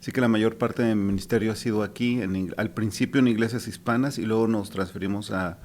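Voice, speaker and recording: A man from Mexico City answers questions as a pastor, his voice low and his delivery steady. A faint hum sits underneath. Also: fast talker. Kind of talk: podcast interview answers.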